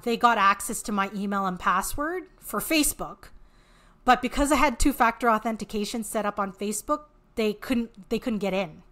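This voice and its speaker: A woman's voice: on the high side, pushed and bright. A woman with a Canadian accent talking casually as an interview guest, explaining and often restarting her sentences mid-thought. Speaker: podcast guest, author and marketing entrepreneur.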